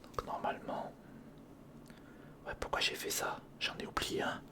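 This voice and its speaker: An ASMR creator whispering casual step-by-step commentary on a hands-on build, murmuring directly to the listener. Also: a little slow.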